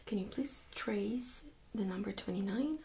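A young woman speaks in a soft, breathy, whispery voice, gently and politely giving step-by-step instructions in an ASMR medical role-play. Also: medium pitch, measured pace, a little hiss or hum, poor audio. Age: young adult. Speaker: ASMR performer playing a medical examiner. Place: small indoor studio room.

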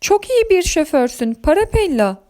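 A woman's voice: very high-pitched, moderate pace, a little mellow, pitched above the performer's own voice. A woman voicing a remorseful cheating monster truck driver in a children's story, earnest and emotional. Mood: admiring, earnest.

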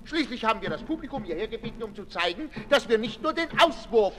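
A man's voice: very high-pitched, expressive, fast talker, sharp tone, heavily acted. An actor playing an indignant, pompous male asylum director, scolding in a 1960s radio drama. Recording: 1965 radio play with long reverb.

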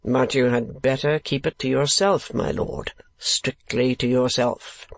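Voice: medium pitch, unhurried, darkish tone, dry recording, natural voice. A narrator playing a shrewd old man, a sneering moneylender in a storybook, dry and falsely deferential. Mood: feigned reluctance.

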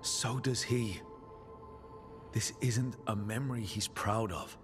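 Supportive male adventurer for a fantasy game: low, reasonable, thoughtful, faint music underneath. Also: slow. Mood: reassuring. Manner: reassuring, thoughtful. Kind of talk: naturalistic video game cutscene acting.

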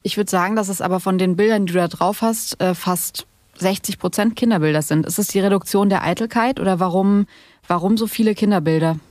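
A woman's voice: medium pitch, a little fast, a little mellow, no room sound. A woman hosting a podcast, asking questions in a casual, conversational way. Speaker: podcast host, interviewer.

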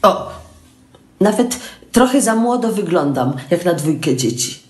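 A woman's voice: lowish voice, very animated, measured pace, a little mellow. A woman in her fifties playing a sardonic older woman of the family, with dry, mocking asides, recorded on a phone at home. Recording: roomy home room.

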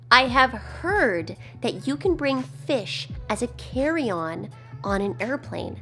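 Hoarse young female vlogger, excitable and chatty, self-filmed. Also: on the high side. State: hoarse from shouting. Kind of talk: casual vlog to camera. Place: hotel room, then airport. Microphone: handheld camera at arm's length.

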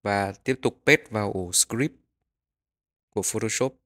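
A man narrating a step-by-step software tutorial, explaining each click as he goes. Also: fairly low pitch, measured pace.

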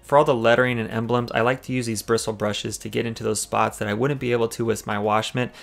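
Fast-talking gravelly man narrating car detailing. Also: medium pitch, flat delivery, faint music behind. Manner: explanatory, tip-giving. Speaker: car detailing channel host. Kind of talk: instructional voice-over narration.

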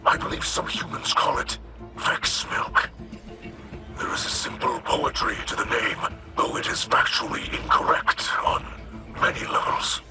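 A man playing a gentle, scholarly alien ally in a video game, speaking in a hushed, breathy, measured and formal way. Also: on the low side, over music. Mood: pensive. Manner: measured, formal, hesitant, wonder-filled. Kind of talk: scripted video game dialogue.

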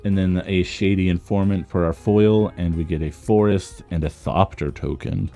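Male card-game creator, very low mellow voice with a touch of roughness, casual unboxing commentary, music underneath. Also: steady tone, normal speed. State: slightly rough voice from talking a lot. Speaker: trading card content creator.

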